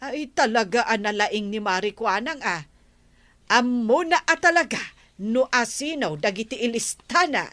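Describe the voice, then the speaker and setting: A woman's voice: on the high side, expressive, normal speed, bright, forceful tone, no echo. A woman playing a self-satisfied village official in a comedy radio drama, with a drawling manner. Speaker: actor in an Ilocano comedy drama.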